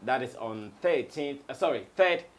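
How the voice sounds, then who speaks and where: A man's voice: medium pitch, expressive, normal speed. A man with a Ghanaian accent giving casual commentary as a studio pundit on an entertainment show.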